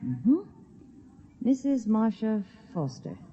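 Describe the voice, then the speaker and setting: An actress playing a courteous, officious young spaceship stewardess in a 1950s radio drama. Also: female voice, a little high, big pitch swings, slow pace, mellow, slightly rough, soft background music, heavily acted. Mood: courteous, brisk. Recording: muffled vintage 1950s radio recording.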